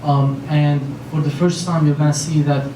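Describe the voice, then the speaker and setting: A man's voice: fairly high pitch, flat delivery, moderate pace. A man in his thirties from Lebanon, speaking on a conference panel in an earnest, rambling way full of fillers and restarts. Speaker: panelist, company founder. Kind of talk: panel talk. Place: large conference room with an audience. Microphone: handheld mic. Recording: echoey room from far off, faint noise.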